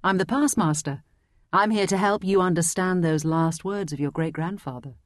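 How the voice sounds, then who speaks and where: A woman playing a kindly, helpful guide through history in an audio drama, speaking gently. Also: fairly low pitch, moderate pace, dry recording, studio quality, lightly acted. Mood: gentle, helpful.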